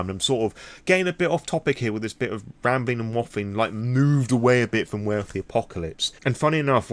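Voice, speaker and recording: A British man rambling candidly through an impromptu vlog, opinionated and freely swearing. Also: fairly low pitch. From Britain. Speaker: vlogger.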